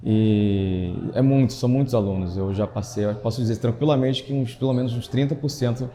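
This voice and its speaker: A man's voice: mid-range voice, fairly quick, mellow. A man with a Brazilian accent, a chef instructor giving a reflective, emphatic testimonial for a documentary in short built-up phrases.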